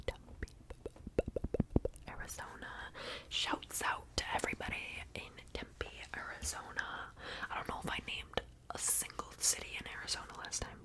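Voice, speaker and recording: Softly whispering woman, repeating words. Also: low-quality recording. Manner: whispered, soft and rambling, repeating words over and over; playful, chatty asides to viewers. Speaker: ASMR creator. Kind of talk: ASMR whispering.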